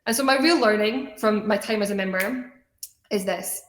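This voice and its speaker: A woman's voice: medium pitch, moderate pace, fairly bright tone, slight background noise. A young adult woman from Scotland gives a motivational personal-story talk in an earnest, conversational way. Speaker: student organization leader giving a keynote talk. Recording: echoey room sound.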